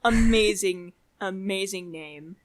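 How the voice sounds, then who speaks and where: A woman with a mid-pitched voice chats casually as a podcast co-host. Her manner is informal and giggly, as in an easy conversation. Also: slow pace, slight background noise. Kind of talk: casual chat.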